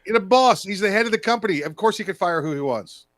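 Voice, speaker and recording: An American man co-hosting a political talk show, chatting casually in a halting, repetitive way. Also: sits high, expressive, fairly bright tone, no echo. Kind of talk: casual political commentary chat.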